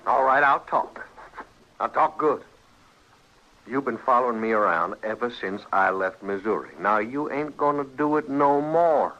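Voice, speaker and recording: An actor playing a defiant, hot-tempered frontier killer in a radio western, with blustering rustic speech. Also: male voice, medium pitch, a little slow, pushed and bright, a little hiss or hum. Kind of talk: old-time radio western acting. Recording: dull, lo-fi old radio recording.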